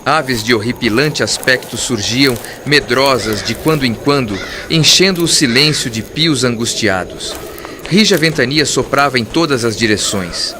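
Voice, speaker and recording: A man narrating a literary audiobook in a formal, earnest, measured way. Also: mid-range voice, background noise. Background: clear background music.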